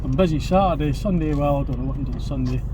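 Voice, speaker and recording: Quiet guy talking while he drives, far from the mic, engine roaring over him. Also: fairly high pitch, fast talker. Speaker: vlogger driving his car. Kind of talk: casual driving commentary. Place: inside a moving car. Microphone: camera phone in the car. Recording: distant, dull, loud engine noise. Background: loud engine and road noise.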